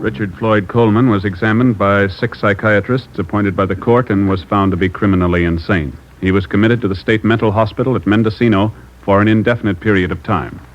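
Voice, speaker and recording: Loud music backs a male radio announcer with a fairly low voice. He reads in a measured, official way, and the old recording is dull. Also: steady tone. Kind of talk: formal 1950s radio narration.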